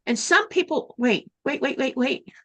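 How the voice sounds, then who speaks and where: A woman's voice: high-pitched, lively intonation, moderate pace, a bit bright. A woman from Florida co-hosting a casual sewing livestream over a video call, chatting and reading out viewer comments. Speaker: livestream co-host. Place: home in Greenland.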